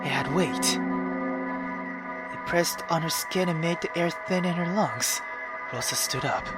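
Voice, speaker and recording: A woman reading a visual novel aloud as a gamer, dramatic and expressive, breaking off into exclamatory, sweary asides. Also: on the low side, unhurried. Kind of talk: dramatic reading with reaction commentary. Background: game music.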